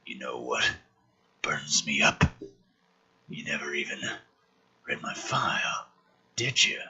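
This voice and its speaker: A man voicing a battered, defiant soldier from a film script in a husky, breathy near-whisper, slow and menacing. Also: rumbling low, sing-song, sharp tone, voice pushed down, heavily acted. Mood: bitter menace. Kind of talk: dramatic reading of a film script.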